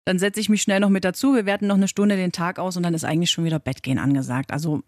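A woman's voice: on the low side, steady tone, racing, darkish tone, dry recording, studio quality. A woman in her thirties talking casually and chattily as the co-host of a podcast, in everyday colloquial language. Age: 30s. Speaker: co-host, fitness beginner and working mother. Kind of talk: casual conversational podcast talk.

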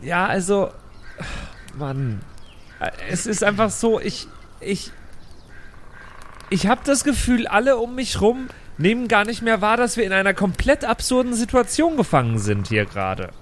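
A man with a high, lively voice improvises dialogue in a tabletop role-play. He sounds colloquial, hesitant and bemused. There is faint background noise. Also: a little slow.

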